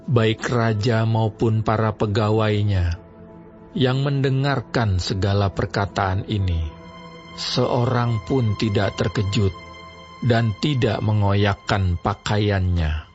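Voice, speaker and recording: Slow, measured male Bible narrator. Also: fairly low pitch, darkish tone. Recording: slightly dull studio audio over music.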